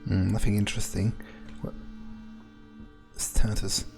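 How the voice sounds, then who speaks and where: A man's voice: on the low side, level tone, unhurried, gravelly, over music. A man thinking aloud in character during a game playthrough, musing and hesitant, often trailing off. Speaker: let's player speaking in character as a vampire.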